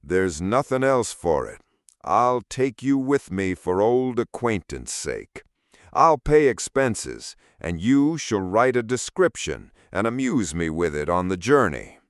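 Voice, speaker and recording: A man voicing a living shadow turned wealthy gentleman in a storybook, self-important, boastful and condescending. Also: fairly low pitch, big pitch swings, takes it slowly, no echo, clean studio sound, exaggerated voice. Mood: patronizing, lordly.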